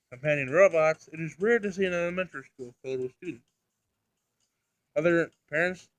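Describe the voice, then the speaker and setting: A man's voice: a little high, no echo, natural voice, rough recording. Man reading as formal, emotionless robot girl. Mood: puzzled, detached. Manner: formal, detached wording. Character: emotionless, literal-minded, condescending robot girl from visual novel.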